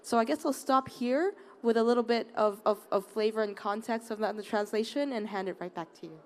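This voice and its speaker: A woman's voice: on the high side, fairly quick. A woman from Hong Kong speaking on a conference panel, earnest, often restarting and repeating words. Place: conference open area.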